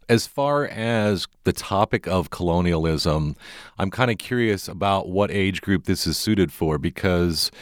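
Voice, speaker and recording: Low-voiced male interviewer, dry sound. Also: no echo. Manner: curious, conversational questions. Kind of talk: radio interview.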